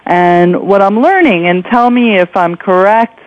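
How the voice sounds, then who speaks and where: Female interview host, measured and conversational, pausing mid-thought, dull lo-fi radio sound with no room echo. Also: medium pitch.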